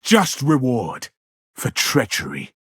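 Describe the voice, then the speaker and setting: An actor playing a stern, righteous space-marine warrior in a video game, delivering pompous battle declarations in a gravelly, husky voice. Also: male voice, mid-range voice, huge pitch swings, slow, dry recording, studio quality, exaggerated voice. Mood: scornful.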